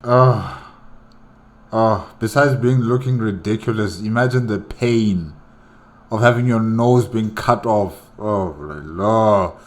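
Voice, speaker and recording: A man's voice: fairly low pitch, slow. A man giving casual, off-the-cuff reactions as the host of a reaction video. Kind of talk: casual spoken reactions to a story.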